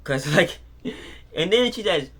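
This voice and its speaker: A man giving an unscripted casual film review, excitable and rambling, often repeating his words as he retells scenes. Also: high-pitched, measured pace, slightly rough.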